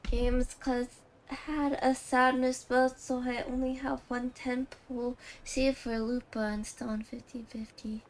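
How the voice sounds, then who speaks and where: Female game streamer: unhurried, higher-pitched, casual and rambling livestream chatter. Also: flat delivery, low-quality recording. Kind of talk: casual livestream chat while gaming.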